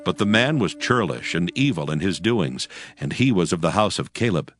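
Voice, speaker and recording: A man's voice: low voice, sing-song, normal speed, faint music behind. A man narrating dramatized scripture as a solemn storyteller.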